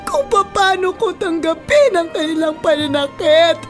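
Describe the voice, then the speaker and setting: A woman playing an anguished, weary, self-sacrificing middle-aged mother in a Filipino radio melodrama, pleading in a drawn-out emotional way. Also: very high voice. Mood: tearful anguish. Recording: loud music under the voice.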